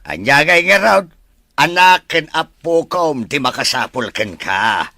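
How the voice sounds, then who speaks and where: A man playing a plain-spoken married man in a domestic drama, with a rough, husky voice. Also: fairly high pitch, sing-song, unhurried, pushed and bright, no room sound, clean studio sound, exaggerated voice. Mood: grumbling. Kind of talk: Ilocano radio-style drama acting.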